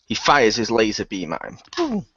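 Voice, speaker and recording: A man's voice: lowish voice, wildly swooping pitch, unhurried, fairly bright tone, slightly rough, no echo, muffled recording. A man playing a role-playing game, improvising third-person narration and joking in character.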